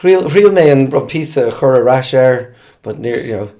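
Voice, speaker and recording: An Irish man co-hosting a casual bilingual chat, speaking haltingly as he repeats phrases and explains words. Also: mid-range voice, lively intonation, vocal fry, warm tone. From Ireland. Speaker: podcast co-host.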